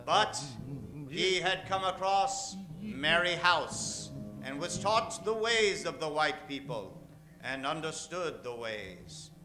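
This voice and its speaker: Male radio announcer for a western, high voice, emphatic old-time delivery with stretched-out names, prominent music underneath. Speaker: announcer introducing a radio play cast. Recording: music behind the voice.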